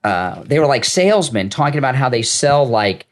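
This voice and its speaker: A man's voice: medium pitch, big pitch swings, moderate pace. A middle-aged man co-hosting a casual chat, hesitant and agreeable, often restarting his sentences. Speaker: co-host.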